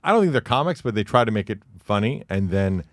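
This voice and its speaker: A man's voice: lowish voice, big pitch swings, quick pace, no room sound, studio quality. A man in his late forties, a guest on a podcast, talking in a blunt, profane, brash storytelling way. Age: 40s. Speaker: podcast guest, comedy club owner. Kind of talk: casual podcast chat.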